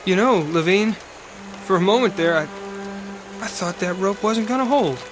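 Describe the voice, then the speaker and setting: An actor playing a reflective, uneasy soldier, hesitant and musing, in a radio drama. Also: male voice, high voice, unhurried. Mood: pensive, uneasy. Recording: noisy outdoor ambience sound effects.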